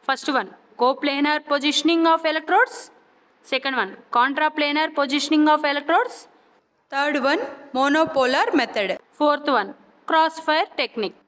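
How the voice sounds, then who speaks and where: A woman with a Telugu accent giving a scripted educational narration as a lecturer, deliberately repeating key terms and carefully enunciating English phrases. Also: sits high, fairly bright tone. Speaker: physiotherapy lecturer. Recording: long reverberant echo.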